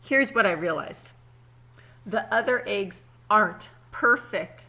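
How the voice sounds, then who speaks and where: Slow-paced woman reading a storybook to children. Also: medium pitch, rough recording. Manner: expressive, explains words simply and checks in with young listeners. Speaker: teacher reading aloud to children. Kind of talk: children's storybook read-aloud with asides.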